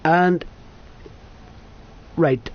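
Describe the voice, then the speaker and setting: High-voiced British man rambling, lo-fi. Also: very slow. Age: 30s. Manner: sweary, self-correcting, chatty. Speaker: vlogger. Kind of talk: rambling casual storytelling. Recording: dull lo-fi sound.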